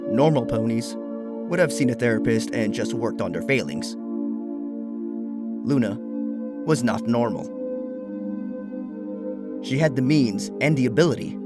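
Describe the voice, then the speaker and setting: A man narrates a scripted fan fiction story. His voice sits at a medium pitch, with music clearly playing behind him. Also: expressive, measured pace, pauses often. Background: background music.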